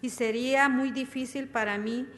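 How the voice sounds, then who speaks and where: A woman from El Salvador, a hotel housekeeper, speaking slowly and haltingly in short sentences for an interpreter. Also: high-pitched, steady tone, a bit bright. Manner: slow, halting, with restarts. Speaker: hotel housekeeper giving public comment. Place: large council chamber. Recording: echoey chamber with long reverb and faint noise.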